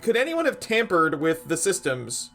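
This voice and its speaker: A man's voice: fairly high pitch, huge pitch swings, normal speed, fairly bright tone, soft background music. A man playing a tabletop role-playing game, talking casually and asking questions as his character.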